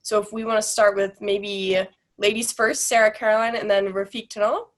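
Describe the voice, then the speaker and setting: A woman's voice: medium pitch, steady tone, normal speed. A woman moderating an online panel over a video call, reading the guest introductions in an upbeat way.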